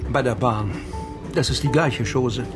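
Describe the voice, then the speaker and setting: An actor playing a courteous male crime writer in a radio play, speaking in measured, educated, formal phrases. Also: mid-range voice, over music, natural voice. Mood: conceding, courteous.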